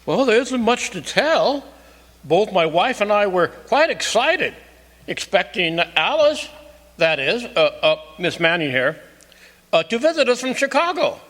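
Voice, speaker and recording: Man acting a high-voiced, nervous husband. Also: big pitch swings, a bit bright, exaggerated voice. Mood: uneasy. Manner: halting, earnest. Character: nervous, defensive husband under police suspicion. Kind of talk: old-time radio mystery acting. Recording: echoey hall stage recording.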